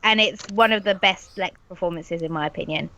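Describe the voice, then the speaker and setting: A woman's voice: fairly low pitch, brisk, sharp tone. A woman with a cold, chatting as a podcast guest, gushing about a TV show with emphatic, exaggerated talk. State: sniffly from a cold. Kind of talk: casual chat. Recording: dull lo-fi video call audio.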